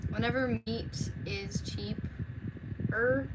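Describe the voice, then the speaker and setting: A woman's voice: a little high, fairly even intonation, extremely slow, heavy background noise. An American woman chatting casually on a livestream in an informal, rambling way, often trailing off. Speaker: livestreamer, read-aloud host. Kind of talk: casual livestream chat with viewers.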